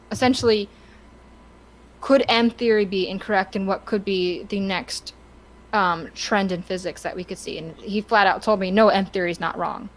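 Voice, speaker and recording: A woman co-hosting a podcast, talking casually and with opinions in a halting, stop-start way as she searches for words. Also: mid-range voice, rough recording. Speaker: podcast co-host.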